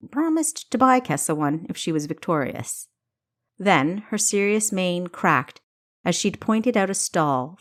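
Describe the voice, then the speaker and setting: A woman author narrating her own fiction audiobook in an expressive storytelling style. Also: on the low side.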